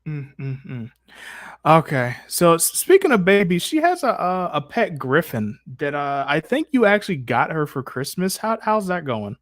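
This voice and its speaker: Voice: mid-range voice, sing-song, normal speed, dry recording. A casual host fielding questions in a live chat. The voice sits in a middle register and is recorded very dry and close. The talk is slangy and informal, with phrases often repeated. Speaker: host taking audience questions.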